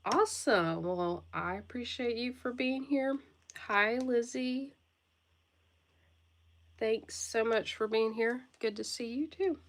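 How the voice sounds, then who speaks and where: Stuffy-nosed woman chatting on a slow live stream. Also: a little high. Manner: friendly greetings to viewers by name; occasional coughing and throat clearing. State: stuffy nose from a cold. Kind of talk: casual livestream chat.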